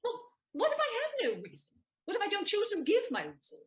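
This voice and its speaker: An actress playing Susanna, a clever, teasing young maid, in a stage audio play, with a sharp, mocking wit. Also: female voice, very high voice, wildly swooping pitch, brisk, full character voice. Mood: stubborn.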